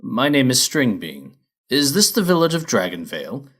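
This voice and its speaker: A man's voice: medium pitch, very animated, moderate pace, a bit bright, breathy edge. A man plays a tall storybook farmer pony. The manner is courteous and a little awkward, with plenty of rise and fall in the voice. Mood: polite. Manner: polite, hesitant.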